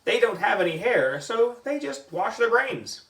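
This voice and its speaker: A man acts out a cocky storybook schoolboy with a dramatic, teasing delivery. The pitch swings around with big emphasis, and the sound is roomy and a bit distant. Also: sing-song, measured pace, a bit bright, heavily acted. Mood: know-it-all. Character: schoolboy spinning wild theories, cocky and dramatic. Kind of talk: storybook character acting. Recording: roomy echo, distant microphone.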